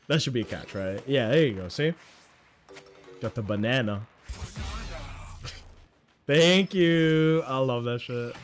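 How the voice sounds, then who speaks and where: A young man streaming a game, chatting casually with his viewers in a loud voice, swearing freely and greeting chat members by name. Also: medium pitch. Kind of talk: casual gaming livestream chat.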